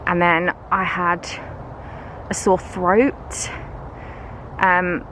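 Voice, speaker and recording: A woman's voice: lowish voice, level tone, extremely slow, a bit bright. A British woman with a congested, bunged-up nose, walking through the woods as she chats to camera in a self-mocking way and laughs often. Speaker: vlogger and schoolteacher. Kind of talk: casual vlog chat while walking. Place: woods outdoors. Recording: noisy outdoor camera recording. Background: outdoor ambience, passing vehicles.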